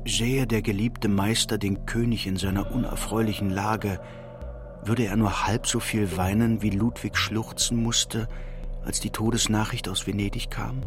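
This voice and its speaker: A man reading literary narration for a radio drama in a measured storytelling manner. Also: fairly low pitch, level tone, over music.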